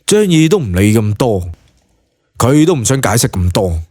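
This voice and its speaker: Lively Hong Kong Cantonese male narrator. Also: mid-range voice, moderate pace, dry recording, clean studio sound. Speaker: audiobook narrator. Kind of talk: dramatised scripted narration of a web novel.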